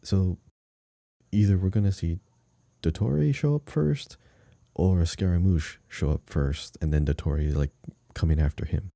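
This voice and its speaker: A man's voice: sits low, a little slow, vocal fry, dark tone, dry recording, clean studio sound. A man talking casually as a co-host on a gaming podcast.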